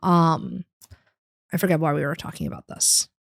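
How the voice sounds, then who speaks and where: A young woman with a New York accent and a naturally low voice, chatting candidly and self-deprecatingly as a podcast guest. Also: steady tone, moderate pace, vocal fry, dry recording, clean studio sound. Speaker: stand-up comedian guest. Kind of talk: casual podcast chat.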